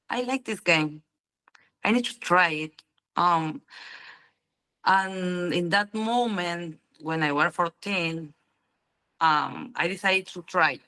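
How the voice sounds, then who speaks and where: A young woman from Argentina speaks English with a Spanish accent, slowly, in a low voice. Her tone is bright and forceful. She keeps pausing on "um" and "you know" while she looks for the words. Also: clean tone, low-quality recording. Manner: halting learner's English, many ums and "you know". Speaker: interview guest, pool player. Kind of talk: casual interview answers.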